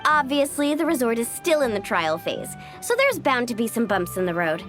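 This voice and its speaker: High, breezy young travel guide. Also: female voice, sing-song, moderate pace, soft background music. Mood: breezy, confident. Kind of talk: animated game voice acting.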